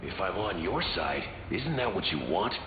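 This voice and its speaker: Very deep, guarded vigilante hero. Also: male voice, big pitch swings, normal speed, roomy sound, long reverb tail, lo-fi sound, noisy background. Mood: dry, guarded. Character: guarded, troubled male avatar of an ancient god. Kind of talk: video game hero banter.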